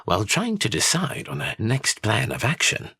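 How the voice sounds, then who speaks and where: An actor playing a dramatic, raspy storyteller narrating a fantasy audio drama. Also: male voice, mid-range voice, huge pitch swings, normal speed, pushed and bright, no room sound, exaggerated voice. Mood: suspenseful. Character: storyteller recounting an ancient war, dramatic.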